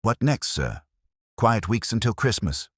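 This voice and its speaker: A man's voice: fairly low pitch, big pitch swings, measured pace, slightly rough, no echo, clean studio sound, voice pushed up, full character voice. A man voicing an eager, deferential police sergeant in a detective story, keen and plain-spoken with his superior. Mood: hopeful.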